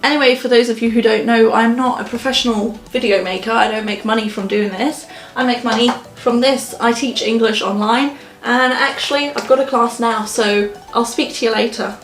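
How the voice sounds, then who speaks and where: A woman's voice: on the high side, fairly even intonation, normal speed, soft background music. A young woman talking to camera in a casual vlog, chatty and self-mocking. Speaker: vlogger and online English teacher.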